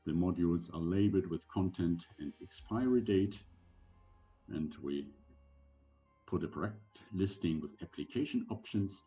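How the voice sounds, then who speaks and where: Male, around 70, deep and mellow. Instructional presenter, deliberate phrasing. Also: highs cut off, soft background music. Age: older, around 70. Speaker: presenter giving medical advice for sailors. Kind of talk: slide presentation lecture.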